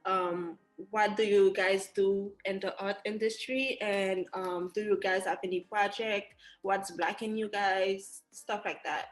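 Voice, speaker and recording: A woman's voice: medium pitch, steady tone, measured pace, few pauses, soft background music, rough recording. A woman hosting a video-call interview, asking her questions hesitantly. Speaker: host.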